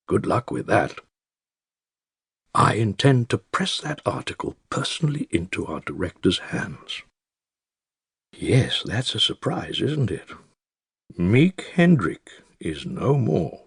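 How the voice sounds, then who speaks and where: An actor playing an elderly male care-home resident with a British accent, narrating his secret diary in a dry, wry, measured and articulate way with a gravelly, husky voice. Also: lowish voice, big pitch swings, no echo, full character voice. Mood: sardonic, then quietly determined. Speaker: audiobook narrator. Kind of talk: scripted first-person diary narration.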